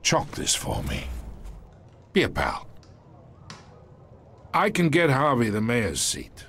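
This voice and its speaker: An actor playing a smug, menacing middle-aged mob boss in a video game, with a slangy, swaggering, taunting delivery. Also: male voice, mid-range voice, huge pitch swings, slow pace, a bit bright, slight background noise, full character voice. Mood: quietly threatening.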